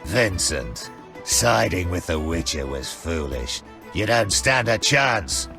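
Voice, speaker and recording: A man's voice: low-pitched, sing-song, slow, gravelly, over music, exaggerated voice. An actor playing a scornful villain in a video game, drawing out his threats. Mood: scornful, threatening.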